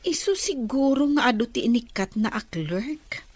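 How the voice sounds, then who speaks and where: A woman's voice: on the high side, sing-song, normal speed, dry recording. A woman with a Filipino accent playing a gossipy, jealous office clerk in a radio comedy drama.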